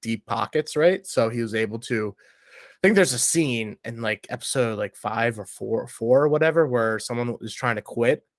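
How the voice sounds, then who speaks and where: A man's voice: medium pitch, normal speed, dry recording. A man chats casually as a podcast guest, blunt and slangy. He rambles through his points with plenty of filler words in a mid-range voice. The recording is close, with little room sound. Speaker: podcast guest reviewing a TV show.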